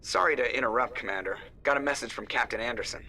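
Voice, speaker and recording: A man's voice: medium pitch, quick pace, sharp tone, a little hiss or hum, low-quality recording. An actor playing an efficient starship crewman reporting to his commander in a video game. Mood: businesslike. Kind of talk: dramatic video game acting.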